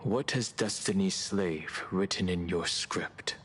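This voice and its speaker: A man playing a calm, guarded young traveler in a video game, polite, formal and firm, with music behind him. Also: sits low, takes it slowly, slight background noise. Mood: measured, wary.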